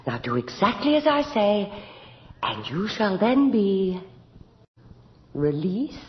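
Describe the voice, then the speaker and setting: An actress playing an imperious, cruel noblewoman in a radio horror drama, speaking in a grand, deliberate, theatrical manner. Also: mid-range voice, sing-song. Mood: coldly coaxing. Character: imperious, cruel noble countess, delighting in blood. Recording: muffled, echoey old radio drama recording.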